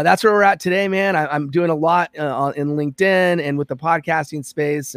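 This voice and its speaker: A man's voice: a little high, dry recording, studio quality. A man born in American Samoa and raised in Los Angeles, a podcast guest telling his career story in an earnest, reflective way. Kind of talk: conversational interview.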